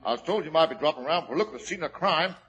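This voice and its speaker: An actor playing a folksy police constable in a 1940s radio drama. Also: male voice, a little high, lively intonation, very fast, a bit bright. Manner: folksy, dropped letters. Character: chatty London police constable. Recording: dull old radio recording.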